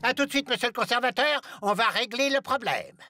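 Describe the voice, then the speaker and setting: A man voicing a stern, suspicious, easily exasperated school supervisor in an animated series, scolding and blustery. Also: very high-pitched, brisk, music in the background. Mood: officious. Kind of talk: French animated series voice acting.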